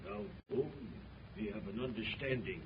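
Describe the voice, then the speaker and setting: An actor playing a greedy, excitable fortune hunter with a German accent in a 1930s radio serial. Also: male voice, fairly low pitch, moderate pace, close to an everyday voice. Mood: greedy, wary. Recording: muffled, noisy 1930s radio recording.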